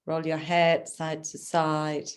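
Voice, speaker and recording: Slow, low-voiced woman guiding relaxation. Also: level tone. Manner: gentle, unhurried, soothing guidance. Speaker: yoga nidra teacher. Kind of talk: guided relaxation instruction.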